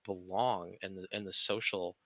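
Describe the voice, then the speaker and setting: A man's voice: deep voice, steady tone, moderate pace, fairly bright tone, dry recording, lo-fi sound. A man speaking as a podcast guest in reflective conversation, explaining things earnestly and analytically in long sentences.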